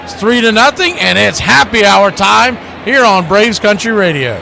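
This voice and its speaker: A man doing live baseball play-by-play as the announcer, conversational, with drawn-out calls and quick asides. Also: high-pitched, lively intonation, vocal fry, music in the background.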